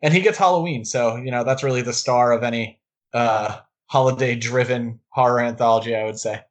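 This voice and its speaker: A man's voice: mid-range voice, a little fast. A man talking casually about films as a podcast co-host, enthusiastic and given to rambling asides. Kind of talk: casual film discussion.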